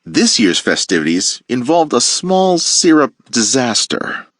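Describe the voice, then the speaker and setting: A man teacher reading a children's picture book aloud with playful, expressive storytelling. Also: mid-range voice, measured pace, no room sound, studio quality.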